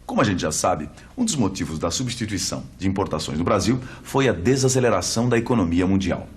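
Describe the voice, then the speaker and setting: Very deep-voiced Brazilian man presenting. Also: big pitch swings, fast talker, breathy edge. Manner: didactic, rhetorical questions. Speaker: presenter of an educational history program. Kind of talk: scripted explanatory narration.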